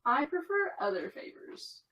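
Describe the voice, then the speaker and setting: A woman's voice: sits high, animated, measured pace, sharp tone, far from the mic, exaggerated voice, poor audio. A woman playing an earnest, conflicted young American woman in an audio drama, confiding in natural dialogue. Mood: playful. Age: young adult.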